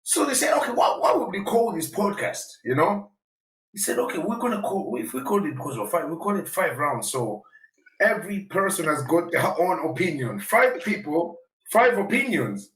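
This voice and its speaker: A man co-hosting a podcast, telling a story in an animated, laughing way. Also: sits high, moderate pace, recorded from a distance. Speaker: podcast co-host. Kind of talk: casual storytelling. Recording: echoey room, off mic.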